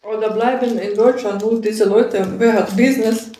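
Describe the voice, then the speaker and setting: A young woman in her twenties from Ukraine, with a Ukrainian accent, ranting casually on a livestream in halting, broken German, often asking "weißt du?". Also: on the high side, fairly even intonation, dark tone, a little hiss or hum. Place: indoor room with a sofa. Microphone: phone at arm's length. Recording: roomy phone recording.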